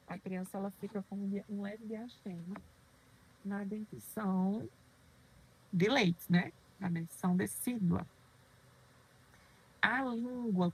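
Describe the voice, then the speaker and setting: A woman's voice: mid-range voice, breathy edge, rough recording. A woman lecturing on children's dentistry, explaining terms patiently and asking questions she then answers herself. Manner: didactic, poses questions and answers them, frequent "né?".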